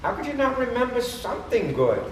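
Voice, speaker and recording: Male actor as persuasive cantor, stage play, declamatory pauses, roomy echo, faint noise. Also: extremely high pitch, very animated. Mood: earnest, persuasive. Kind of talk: live theater comedy acting. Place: theater or temple hall, far microphone. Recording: echoey hall from far off, noisy.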